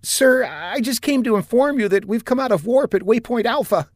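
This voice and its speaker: A man's voice: expressive, normal speed, dry recording, studio quality. A man voicing a nervous young male lieutenant reporting to his captain. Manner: jittery, high and strained.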